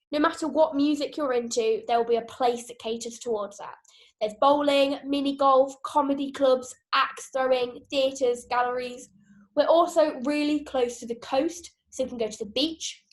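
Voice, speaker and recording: A young woman in her twenties, an outreach ambassador, giving an upbeat, enthusiastic talk to prospective students. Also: sits high. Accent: non-Geordie British.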